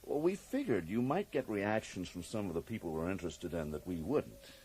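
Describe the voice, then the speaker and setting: An actor playing a cagey, drily amused federal agent in a radio drama, speaking with polite, evasive hesitations. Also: male voice, low-pitched, very animated, a little fast, mellow, breathy edge, heavily acted. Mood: cagey, businesslike. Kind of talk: 1980s radio drama acting.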